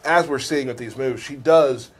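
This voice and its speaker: A man's voice: medium pitch, moderate pace, breathy edge. A man giving casual gameplay commentary as a co-host.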